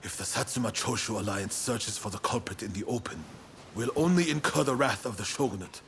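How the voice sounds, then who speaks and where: Gravelly, stern samurai leader. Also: male voice, lowish voice, brisk, fairly bright tone, slight background noise. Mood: guarded, stern. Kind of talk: video game cutscene acting.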